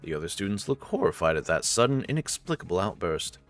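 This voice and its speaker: A man's voice: low-pitched, big pitch swings, quick pace. A man reading scripted visual novel narration as a dramatic storyteller. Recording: soft music behind the voice.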